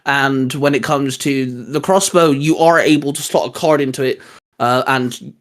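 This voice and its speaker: A man's voice: medium pitch, steady tone, moderate pace. A man hosting a casual, geeky hobby chat, rambling along with plenty of "you know". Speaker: host of a hobby discussion show.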